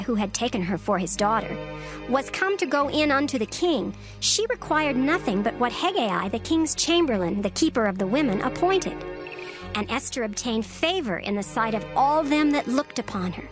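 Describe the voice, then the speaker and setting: A woman narrator reading dramatized scripture in a measured, formal storytelling manner. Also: a little high.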